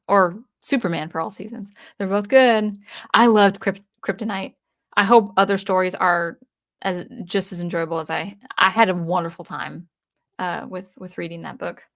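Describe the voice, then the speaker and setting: A woman from the US Northwest hosting a casual livestream, reading viewer comments aloud and answering them in a chatty way with frequent restarts. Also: medium pitch. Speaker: livestream host.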